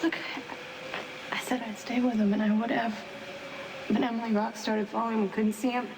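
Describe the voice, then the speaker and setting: A woman's voice: a little high, flat delivery, moderate pace, close to an everyday voice. An actress playing a distressed, earnest young woman caught in a disaster in a TV soap opera. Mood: distressed, guilty. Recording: noisy lo-fi TV soap rip.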